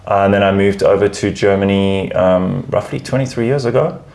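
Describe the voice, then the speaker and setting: A man answering interview questions in relaxed, emphatic chat, often repeating words as he finds his phrasing. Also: on the low side, normal speed, gravelly. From South Africa. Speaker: guest, advertising research lead.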